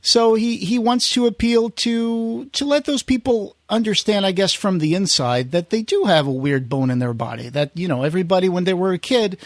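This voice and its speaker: A man with a slightly higher-pitched voice talks casually as a podcast host. He feels his way through sentences, often restarting and rewording. The recording is very dry, with no room sound. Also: moderate pace, few pauses, no room sound. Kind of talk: casual conversational recap.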